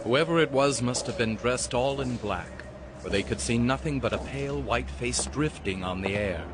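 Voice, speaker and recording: A man narrating a dramatized audiobook in a deliberate, suspenseful storytelling style. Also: mid-range voice, background noise. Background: prominent music.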